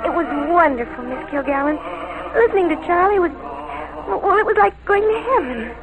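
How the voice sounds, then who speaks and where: An American actress playing a lovestruck, defiant teenage girl in an old radio drama, with breathless, slangy, halting speech. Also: very high-pitched, expressive, phone-quality sound, music in the background. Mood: dreamy, gushing. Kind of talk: 1940s American radio crime drama acting. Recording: noisy vintage radio recording.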